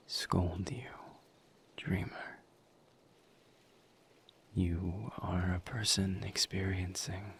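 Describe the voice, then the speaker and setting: A man playing a calm, gentle, formal misery demon in an intimate roleplay audio, with a hushed, breathy voice and measured, deliberate phrasing. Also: low-pitched, a little hiss or hum, full character voice. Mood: patient, gentle. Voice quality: hushed, breathy near-whisper. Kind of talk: soft-spoken roleplay audio, intimate monologue to listener.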